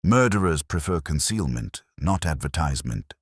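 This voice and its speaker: A man voicing a mild, shrewd police inspector in a detective story, speaking gently, deliberately and formally. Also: rumbling low, big pitch swings, slightly rough, no room sound, studio quality, exaggerated voice. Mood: cautioning, probing.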